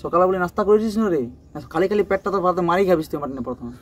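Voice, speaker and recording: A man's voice: high voice, animated, quick pace. A man in a heated phone argument, scolding and firing combative questions. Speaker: prank call target.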